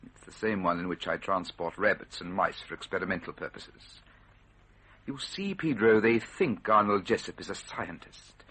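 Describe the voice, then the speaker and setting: An actor playing a villainous mastermind who poses as a respectable scientist, smug, pompous and commanding, in an old radio drama. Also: male voice, low voice, expressive, normal speed, sharp tone, husky, full character voice.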